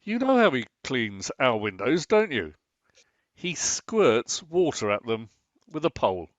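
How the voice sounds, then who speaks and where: A man's voice: mid-range voice, sing-song, unhurried, dry recording. A man playing a grumbling, sarcastic husband in a radio play, theatrically indignant and given to sardonic exclamations. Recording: dry, slightly dull lo-fi sound.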